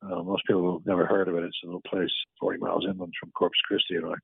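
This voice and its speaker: A man's voice: deep voice, steady tone, very fast, creaky, breathy edge, no room sound. An older man from Texas telling stories in an interview, folksy and rambling, with many fillers and restarts, heard over a tinny phone-like line. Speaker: guest scientist. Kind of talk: casual storytelling in an interview.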